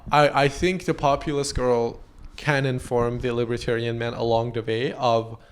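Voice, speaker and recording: A man's voice: medium pitch, little pitch movement, normal speed. A man whose first language is Persian, co-hosting a casual podcast chat, agreeing with short yeahs and restarting his sentences. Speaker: podcast co-host.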